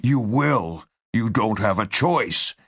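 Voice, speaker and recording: A male narrator plays an interrogator in an audiobook thriller, a man with strained patience. He is low-voiced and slow. Each word is measured, tight and insistent. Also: sing-song, a bit bright, breathy edge, no room sound, studio quality, exaggerated voice. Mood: stern. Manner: measured, tight, deliberately enunciated.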